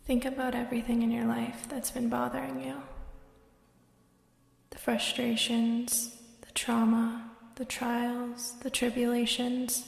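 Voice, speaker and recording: Slow, breathy meditation guide woman. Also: a little high, completely flat delivery. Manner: slow, soft and soothing, drifting into whispers. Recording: roomy echo with long reverb and soft music.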